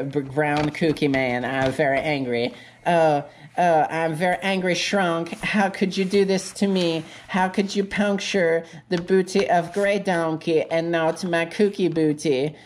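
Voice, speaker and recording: A woman puts on an indignant gingerbread cookie man in a homemade comedy story. He sounds lowish and slow, repeating his hurt and anger in halting, stilted phrases, with faint noise behind. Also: steady tone. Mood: angry, hurt. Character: angry, heartbroken male gingerbread cookie man.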